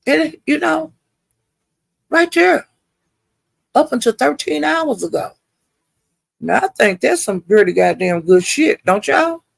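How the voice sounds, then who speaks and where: An older woman chats off the cuff to her livestream viewers. Her voice sits high and crackles with fry, recorded close and dry. She rambles in a folksy, salty-tongued way. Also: big pitch swings, slow, pauses often, dry recording. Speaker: livestream host. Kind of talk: casual chat to live viewers.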